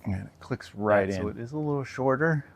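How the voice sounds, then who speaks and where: A man's voice: mid-range voice, animated, fast, heavy background noise. A man giving a casual, hands-on product review in an informal way, with trailing asides.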